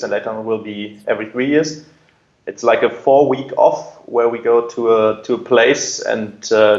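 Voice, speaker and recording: Man, gravelly creak, conversational explaining, roomy echo, dulled audio. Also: mid-range voice, muffled recording. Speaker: air traffic controller, interview guest. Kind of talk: conversational interview answers, explaining.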